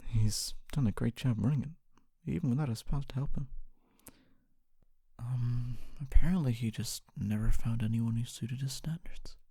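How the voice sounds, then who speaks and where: A performer voicing a kindly, humble fantasy city guard in a soft-spoken ASMR roleplay, often whispering, with a gentle, hesitant and reassuring manner. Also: mid-range voice, moderate pace, slightly rough, no room sound. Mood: gentle, chatty, hesitant. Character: kindly, humble city guard, wannabe knight, calming and helpful.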